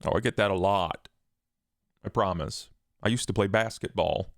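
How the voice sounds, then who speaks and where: A man playing a coy, probing male vampire in a tabletop game, speaking in unhurried, deliberate phrases. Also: fairly low pitch, no room sound, studio quality, close to an everyday voice. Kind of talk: tabletop roleplay character acting.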